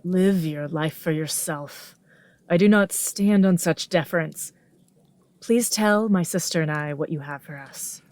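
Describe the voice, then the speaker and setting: A woman's voice: low-pitched, measured pace, a little mellow, no echo. A woman playing an earnest, unpretentious queen in a fantasy audio drama, plain-spoken and firm. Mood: firm, impatient. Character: earnest, unpretentious warrior queen, impatient with ceremony.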